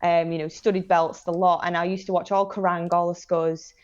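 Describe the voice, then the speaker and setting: A woman's voice: fairly low pitch, flat delivery, normal speed, darkish tone, smooth, dull audio. A woman in her late twenties with a Northern English accent, chatting casually as a podcast guest in an enthusiastic, slangy, informal way. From northern England, Manchester and Blackpool area. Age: late 20s. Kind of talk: casual chat, personal anecdotes.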